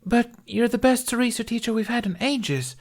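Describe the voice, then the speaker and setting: A man puts on a young female pupil in an audiobook-style tale, pitched well up. She sounds earnest and admiring, protesting with disappointment. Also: moderate pace. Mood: disappointed protest.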